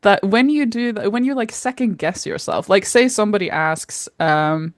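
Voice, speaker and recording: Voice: medium pitch, normal speed, dry recording, studio quality. A young adult podcast co-host chatting casually, rambling and hesitant, with many fillers. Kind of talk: casual chat.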